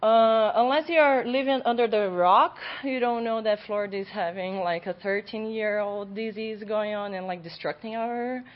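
A young adult woman, a graduate student, giving an academic conference presentation in a loud voice in a lecture room. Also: medium pitch, normal speed, hardly any pauses. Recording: dull lo-fi recording.

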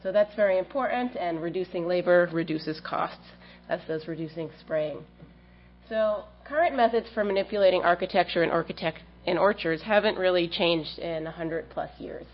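A woman's voice: lowish voice, normal speed. A woman in her thirties with a cold giving an academic research seminar, explaining her work with frequent ums. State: has a cold, slightly stuffy. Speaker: plant scientist giving a research talk. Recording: dull lo-fi lecture audio with faint hum.

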